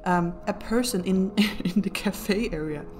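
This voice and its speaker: Danish woman, mid-pitched voice, rambly um-filled voiceover, giggles at herself. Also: a little slow, a little mellow, soft background music. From Denmark. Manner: hesitant, many ums and restarts, self-deprecating, laughs often. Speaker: YouTuber narrating a build video. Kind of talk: casual voice-over commentary.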